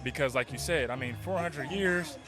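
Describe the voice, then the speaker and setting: A laid-back male host asks questions and affirms his guest with casual slang. His voice is a little higher than most men's. Clear music plays behind him at an outdoor event. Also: moderate pace. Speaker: podcast host, interviewer. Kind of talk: casual interview.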